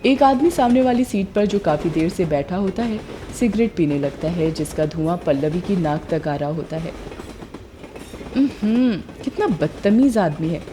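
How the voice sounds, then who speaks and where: A woman's voice: on the low side, moderate pace, noisy background. A woman narrating a scripted cartoon story.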